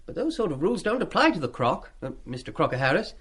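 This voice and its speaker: Radio play schoolboy, mid-teens, British: lightish high voice, very lively intonation, eager, polite, indiscreet. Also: male voice, quick pace, slightly rough, full character voice. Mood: indignant, confiding. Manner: chatty, deferential with schoolboy slang.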